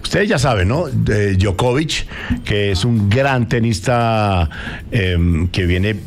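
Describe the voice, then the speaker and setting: A man hosting a morning radio show, chatting about sports in an animated way and speaking straight to listeners. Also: fairly low pitch, slow, a little hiss or hum. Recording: music bed behind the voice. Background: background music.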